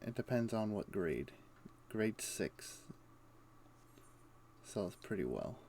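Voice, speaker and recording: A man's voice: fairly low pitch, big pitch swings, takes it slowly, darkish tone. A man doing casual video game stream commentary, muttering to himself and explaining things offhandedly. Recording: faint background noise.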